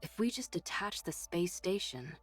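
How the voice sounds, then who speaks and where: An actress playing a capable, protective young soldier and technician with an anxious streak in an anime game. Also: female voice, fairly low pitch, animated, normal speed, breathy edge, dry recording. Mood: focused, confident. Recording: game music behind.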